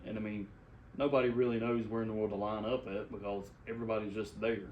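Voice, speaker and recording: A quiet-voiced man co-hosting a podcast in casual chat, sitting back from his mic. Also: lowish voice, flat delivery, quick pace, dull audio. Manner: soft-spoken, quiet voice. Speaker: podcast co-host. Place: room at a high table. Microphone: desk mic a foot away. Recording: roomy, distant mic, faint hiss.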